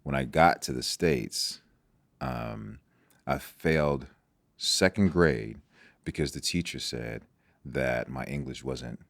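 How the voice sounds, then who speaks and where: A man's voice: extremely low pitch, expressive, slow, gravelly, dry recording, clean studio sound. A Nigerian-born man whose first language is Igbo, a guest in an interview, talking reflectively and often restarting his sentences. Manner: reflective, with many restarts and repeated words. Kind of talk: casual interview talk.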